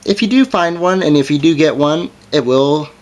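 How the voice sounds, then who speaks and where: A man's voice: a little high, normal speed, lo-fi sound. A man giving a casual hands-on product review as its presenter, in an informal, hesitant and somewhat apologetic way.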